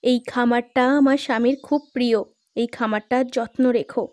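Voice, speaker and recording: A gentle, dutiful lady of a village house, played by a woman in a cartoon drama. Her voice is high and earnest as she gives instructions, recorded dry with no room sound. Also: steady tone, normal speed, no room sound, lightly acted. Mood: resolved sadness. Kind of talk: dramatised horror cartoon dialogue.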